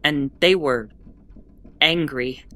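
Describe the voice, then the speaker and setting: Woman acting a weary, sardonic starship broadcaster, bright-voiced. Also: low voice, slow, exaggerated voice. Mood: grave, explanatory. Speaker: ship's bulletin broadcaster. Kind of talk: in-character educational monologue, audio fiction.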